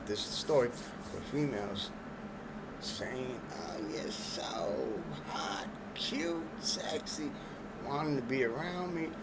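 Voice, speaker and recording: A man's voice: medium pitch, very noisy. A man with a Long Island accent rambling through a personal story in streetwise slang, full of fillers and restarts. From Long Island, New York. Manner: streetwise slang, filler-heavy, restarts, laughs at his own jokes. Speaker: vlogger telling a personal story.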